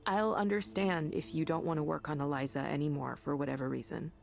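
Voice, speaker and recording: A woman's voice: low voice, steady tone, a little fast, gravelly. A woman acting an earnest, idealistic tech worker in a visual novel game, speaking in a conversational, impassioned way. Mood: reflective, resolute. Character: earnest, idealistic woman defending her mental-health tech work. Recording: music behind the voice.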